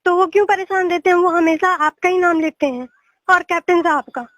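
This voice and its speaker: A young woman presses a complaint on a recorded phone call. Her voice is extremely high and dry, with no room sound. She sounds aggrieved and keeps restating her point. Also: fairly quick, fairly bright tone, dry recording. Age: young adult. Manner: insistent, aggrieved, repeating points. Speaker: caller, a police officer's daughter. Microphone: telephone call.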